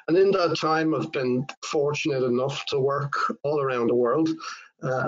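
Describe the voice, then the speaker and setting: An Irish man in his fifties, a supply chain consultant, giving a measured, formal webinar talk with frequent fillers and restarts. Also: mid-range voice. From Ireland. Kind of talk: webinar presentation. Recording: dull lo-fi webinar audio.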